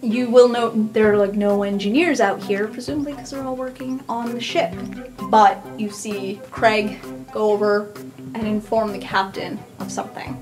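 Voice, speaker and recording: A woman's voice: mid-range voice, steady tone, normal speed. A woman narrating an improvised tabletop game casually as game master. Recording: music behind the voice.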